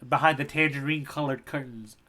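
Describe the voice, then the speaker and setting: A man's voice: mid-range voice, steady tone, a little fast, pushed and bright. A man co-hosting a podcast, chatting casually in a playful, jokey manner. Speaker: podcast co-host. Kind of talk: casual chat, manga discussion.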